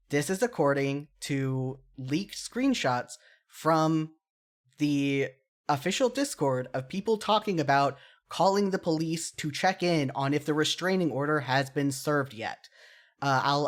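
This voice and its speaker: A podcast host with a medium-pitched voice delivers solo commentary. The delivery is earnest and opinionated, sounding partly scripted but loosened by informal, self-deprecating asides. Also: expressive, normal speed.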